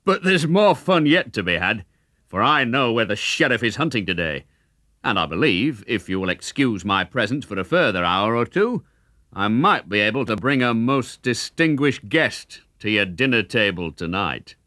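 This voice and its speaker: A man's voice: on the low side, big pitch swings, moderate pace, pushed and bright, dry recording, clean studio sound. A man voicing a bold, sly outlaw who talks eagerly and persuasively in a children's audiobook. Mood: scheming.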